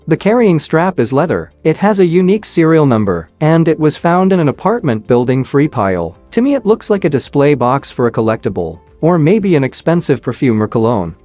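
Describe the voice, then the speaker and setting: A man giving measured, scripted narration, reading questions and answers evenly with no fillers. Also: fairly high pitch, darkish tone, dry recording.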